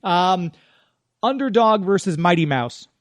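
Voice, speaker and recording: High-voiced man hosting a radio show. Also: lively intonation, a little slow, dry recording, clean studio sound. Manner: chatty, informal, off the cuff. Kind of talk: casual radio chat and sponsor reads.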